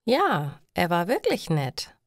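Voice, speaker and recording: A woman voicing a cheerful, contented young female student in a storybook, with slow, friendly phrasing. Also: lowish voice, huge pitch swings, no room sound, clean studio sound, full character voice.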